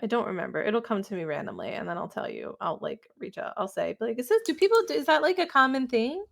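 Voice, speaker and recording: A woman with a high voice co-casts a live card game, playful and quick to giggle. She teases the players and chats loosely with her partner. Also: big pitch swings, creaky, a bit bright. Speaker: esports caster.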